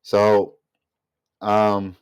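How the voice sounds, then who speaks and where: A man's voice: fairly low pitch, monotone, very slow, smooth, muffled recording. A man giving a casual, rambling opinion monologue as a vlogger, sounding nasal and congested from a mild illness. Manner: rambling, thinking aloud.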